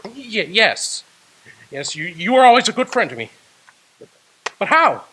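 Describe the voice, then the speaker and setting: An actor playing a frightened old miser, stammering and pleading, in a radio drama. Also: male voice, high voice, sing-song, unhurried, pushed and bright, husky, full character voice, rough recording. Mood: nervous.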